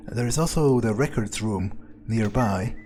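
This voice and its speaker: A man playing a sardonic, pragmatic vampire, muttering his thoughts aloud in a hesitant, deadpan way as in-character game commentary. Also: on the low side, measured pace, lightly acted. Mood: musing, muttering. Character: sardonic, pragmatic male vampire sneaking around. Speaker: game player roleplaying a character.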